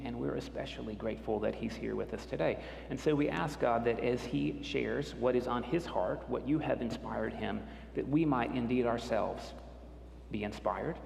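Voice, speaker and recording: A man leading a solemn, measured opening prayer at a church retreat. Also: mid-range voice, creaky, darkish tone, slight background noise. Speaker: prayer leader. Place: church hall. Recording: echoey hall, faint ambience.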